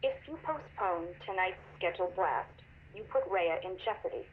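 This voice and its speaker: A woman playing a cold, menacing corporate executive in a video game, stern and measured over a telephone. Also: on the low side, animated. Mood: cold, pressuring. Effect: telephone filter. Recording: muffled, noisy phone recording.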